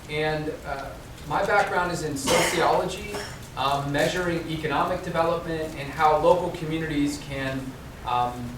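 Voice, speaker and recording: A man from Central Ohio giving a conference talk, with many ums and restarts. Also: on the high side. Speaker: conference presenter. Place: conference room. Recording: echoey hall, mic at a distance, audible noise.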